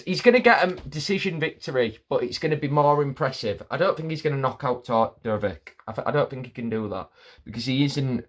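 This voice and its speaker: Guy, mid-pitched, casual rambling fight-pick talk full of fillers. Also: brisk. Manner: rambling, many restarts and fillers like "like". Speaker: MMA fight predictor.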